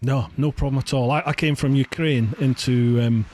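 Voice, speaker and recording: A man's voice: mid-range voice, steady tone, moderate pace, darkish tone. A man hosting a podcast in casual, emphatic conversation. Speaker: podcast host. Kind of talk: casual chat. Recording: faint background noise, online call.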